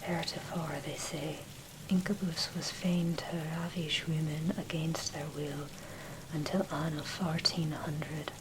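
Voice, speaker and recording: A woman narrates in a breathy, unvoiced whisper, giving a measured, scholarly ASMR reading of a dark history article. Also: low voice, fairly even intonation. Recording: heavy background noise, rain and thunder. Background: rain, thunder, clicks.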